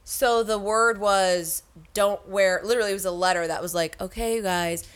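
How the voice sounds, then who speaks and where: A woman with a middling pitch tells stories in a casual, comic way. Her talk is slangy and freely profane, and she animatedly quotes herself and others as she goes. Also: steady tone, moderate pace, clear, resonant tone.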